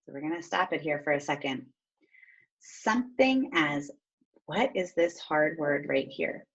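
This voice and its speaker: A woman with a fairly low, expressive voice reads a storybook aloud as a teacher would. She steps out to think aloud and guide the listener. The recording sounds a little dull and lo-fi. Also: measured pace. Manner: instructive, thinks aloud step by step.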